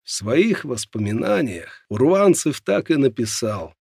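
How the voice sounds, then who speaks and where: A man with a gravelly, husky voice narrating a nonfiction audiobook in a measured, dramatic storytelling way. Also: medium pitch, sing-song, a little mellow, no room sound, clean studio sound.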